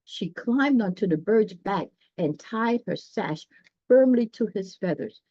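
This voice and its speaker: Casting: woman storyteller for children's tales, very unhurried and mellow, explanatory, chatting to listeners between passages. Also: medium pitch, expressive, no echo, lo-fi sound. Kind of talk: read-aloud children's story with chatty asides.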